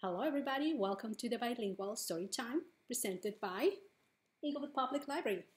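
A middle-aged woman hosting a bilingual storytime as a children's librarian, reading picture books aloud with deliberate phrasing for small children. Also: fairly high pitch, lively intonation. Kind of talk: bilingual picture-book read-aloud for preschoolers. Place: living room at home, indoors.

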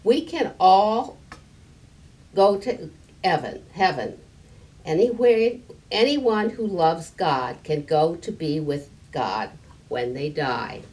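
A gentle old storybook grandfather, read by an older woman, patiently reassuring and explaining. The delivery is slow and lively in pitch, heard a little off from the microphone. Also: distant mic.

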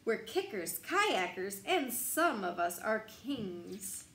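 A young woman reads a bedtime story for children with very animated, swooping intonation. She takes her time, stressing words like a teacher. The room is noticeably echoey, recorded from a little way off. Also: mid-range voice, slow pace. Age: young adult. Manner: expressive, sing-song, teacherly with emphasized words. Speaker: picture book reader. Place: small glassed-in sunroom. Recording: roomy echo, light background noise.

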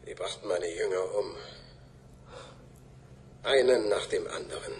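Deep, slow, menacing cult leader. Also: male voice, a bit bright, heavily acted. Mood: grandiose, bitter. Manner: slow, brooding, theatrical delivery that drops to whispers. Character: fanatical, menacing cult leader recalling bloody deeds, contemptuous and proud. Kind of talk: German radio drama acting. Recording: clearly noisy tape-like recording.

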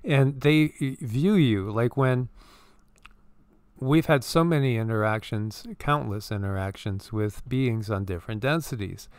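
A man's voice: medium pitch, measured pace, clean tone, dry recording. A man co-hosting a casual spiritual commentary show, talking in a rambling, earnest way and often repeating words. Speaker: co-host.

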